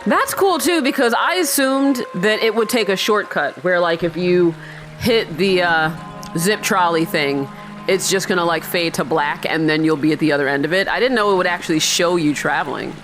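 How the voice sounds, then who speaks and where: A woman's voice: on the low side, normal speed, low-quality recording. A woman hosting a gaming video with casual, chatty commentary and joking, sarcastic asides. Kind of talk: casual let's-play commentary. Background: game music faintly behind the voice.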